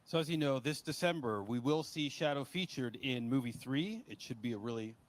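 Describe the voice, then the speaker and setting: A man interpreting at a panel, explaining points in a measured conversational way. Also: mid-range voice, lively intonation.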